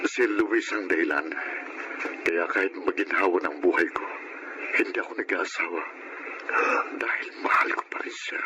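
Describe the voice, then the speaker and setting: Casting: male actor as kindly middle-aged undertaker full of regret, lowish voice, dramatic delivery, noisy background. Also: normal speed, long pauses, highs cut off, natural voice. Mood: regretful, earnest. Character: remorseful middle-aged funeral parlor owner, kindly man. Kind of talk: Filipino radio-style melodrama acting. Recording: clear background noise, radio-like sound.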